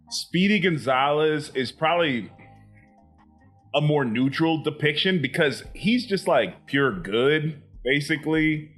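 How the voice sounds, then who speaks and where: A man's voice: mid-range voice, a bit bright. Laid-back young man on a podcast. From Florida, USA. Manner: laid-back, rambling with fillers, swears casually. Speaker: podcast co-host. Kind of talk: casual comedy podcast banter.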